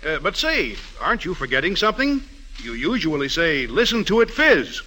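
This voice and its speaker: Old radio commercial guy, bright and lively, kinda high, folksy eager selling. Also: moderate pace. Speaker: commercial pitchman. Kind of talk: 1940s radio ad dialogue, scripted.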